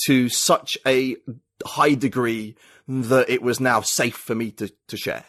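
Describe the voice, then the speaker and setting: A British man, a writer and podcast guest, talking in a reflective, halting way with many restarts. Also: medium pitch, fairly even intonation, fairly bright tone, no echo, clean studio sound. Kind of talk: casual conversation.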